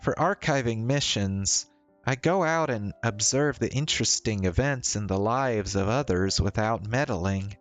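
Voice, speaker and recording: A man's voice: on the low side, a little slow, close to an everyday voice. A man voicing a chatty, playful young woman in a visual novel, with a teasing, breezy manner. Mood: thoughtful. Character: young woman, casual, chatty, playful story-game heroine. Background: faint music.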